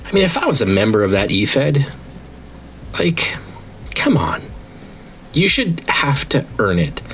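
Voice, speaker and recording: A man in his late thirties with a very deep voice speaks in a casual, rambling monologue. He sounds eager and proud, often restarting his sentences. A faint hiss sits under the recording. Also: fairly bright tone. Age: 30s. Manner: enthusiastic, rambling, many restarts. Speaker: founder and owner of an online wrestling league.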